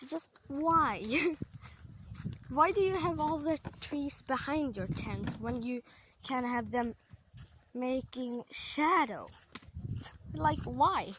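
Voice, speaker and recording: A Swedish-accented woman talks slowly and hesitantly while she vlogs outdoors on a handheld camera, her voice sitting a little high. She fills gaps with plenty of ums and chatty asides. Faint outdoor sounds hang behind her. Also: lively intonation, noisy background. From Sweden. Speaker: vlogger. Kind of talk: casual walking vlog. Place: outdoor campsite among trees.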